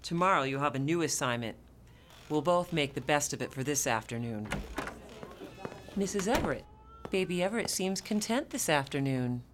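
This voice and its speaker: Low-voiced, stern, officious nurse. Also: female voice, animated, moderate pace, pauses often, noisy background. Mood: cold, firm. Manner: formal, clipped, authoritative. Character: stern, officious, disapproving female neonatal nurse. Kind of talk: radio play acting.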